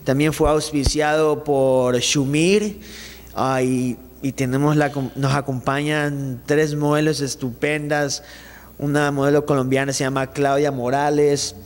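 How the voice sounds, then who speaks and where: A man's voice: a little high, measured pace, big-room sound. Young Ecuadorian man, upbeat interview talk. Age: young adult. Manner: animated, gestures with his hands, many restarts. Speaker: singer being interviewed. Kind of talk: casual interview chat. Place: small studio set, indoors. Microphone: handheld mic held by the interviewer.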